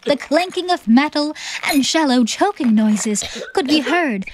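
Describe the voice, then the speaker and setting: Higher-pitched woman narrating a story. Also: expressive, measured pace, background noise. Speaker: audiobook narrator. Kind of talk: scripted dramatic story narration. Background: background music.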